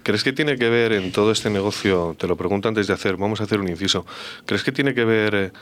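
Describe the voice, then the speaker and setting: A male interviewer with a fairly low voice who asks probing questions. He hesitates often, filling gaps with "eh" and short acknowledgements. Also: brisk, fairly bright tone. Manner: probing, with frequent "eh" and "ujú".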